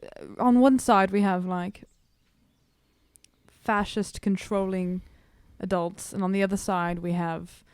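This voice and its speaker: A woman's voice: mid-range voice, steady tone, slow, long pauses, darkish tone, clean tone, dry recording, lightly acted. A woman playing a weary, homesick young demigod student in improvised tabletop roleplay, casual and hesitant with a sarcastic edge. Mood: uneasy.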